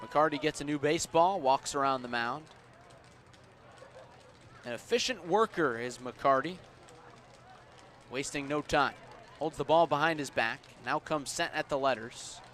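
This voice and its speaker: Male baseball radio announcer, slightly high voice, measured but lifting on the action, faint outdoor ballpark noise behind. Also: fairly bright tone. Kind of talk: live radio sports commentary.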